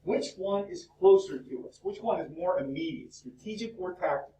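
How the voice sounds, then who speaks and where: High-voiced man lecturing a class. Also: expressive, moderate pace, warm tone. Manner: talkative, upbeat, fires questions at the class. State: voice cracking. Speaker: business instructor. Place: university classroom. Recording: echoey classroom from far off.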